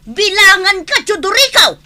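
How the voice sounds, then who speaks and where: A nagging, hard-up mother in an Ilocano radio drama. Her voice is extremely high and sharply bright. She scolds her household with theatrical exasperation. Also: sing-song, normal speed, slight background noise, heavily acted. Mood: scolding, shouting. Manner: scolding, dramatic, loudly calling out. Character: exasperated, nagging mother and wife, short of money.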